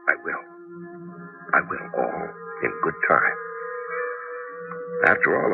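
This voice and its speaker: An actor playing an apologetic, secretive husband, a tinkering scientist turned cabbie, hesitant and stammering in a 1980s radio drama style. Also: male voice, sits low, vocal fry, heavily acted. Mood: musing. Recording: dull vintage radio broadcast.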